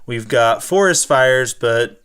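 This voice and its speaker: A man's voice: mid-range voice, lively intonation, slow pace, a bit bright, clear, resonant tone. An American man co-hosting a casual gaming show, answering questions in a loose, self-correcting, rambling way. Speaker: co-host of a gaming show and school teacher. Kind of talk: casual unscripted chat, answering viewer questions.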